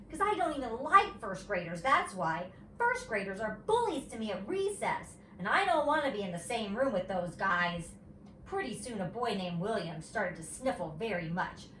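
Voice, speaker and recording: A woman reading a children's storybook aloud like a teacher, in an animated, expressive style. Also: mid-range voice. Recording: roomy, distant mic, faint noise.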